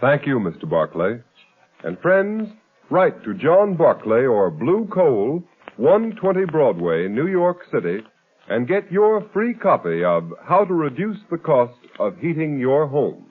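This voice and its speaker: A man announcing in an emphatic, bright scripted style on old radio. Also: medium pitch, sing-song, a little slow, slightly rough. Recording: tinny old radio recording.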